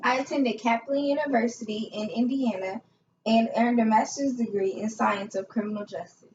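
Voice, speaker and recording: A woman's voice: fairly high pitch, normal speed, noisy background, close to an everyday voice. A young woman playing a polite, formal investigator guest in a scripted amateur interview. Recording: echoey, muffled, distant room mic.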